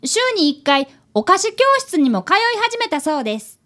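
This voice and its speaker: An earnest schoolboy apprentice detective in a radio drama, played by a woman. The voice is extremely high and swings animatedly, with very polite, eager phrasing. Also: very animated, moderate pace, a bit bright. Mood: earnest, bright. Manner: polite, earnest, narrates to the listener. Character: earnest polite ten-year-old boy, apprentice detective.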